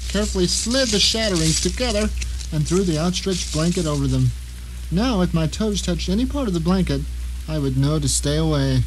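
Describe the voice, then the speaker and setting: An actor playing a resourceful, wry blind writer who narrates his own predicament in a theatrical audio drama monologue. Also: high-pitched. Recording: noisy 1990 radio drama mix.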